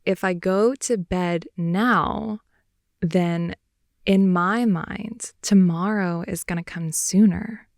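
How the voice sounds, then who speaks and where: Woman playing a playful clingy girlfriend, young, slow drawl, vocal fry, soft intimate rambling, improvised ASMR. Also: on the low side, fairly even intonation, no echo, studio quality. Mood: playful pleading. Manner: soft, intimate, rambling, giggly, often dropping to whisper. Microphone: close headphone-oriented ASMR mic.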